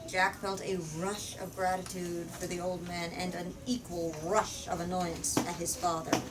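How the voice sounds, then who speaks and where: A woman's voice: fairly low pitch, level tone, a little slow. Woman storyteller, distant from the mic. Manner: emphatic, explanatory, asks questions. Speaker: book talk leader reading aloud. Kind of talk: storytelling and literature discussion. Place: bookstore. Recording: distant mic in noisy room.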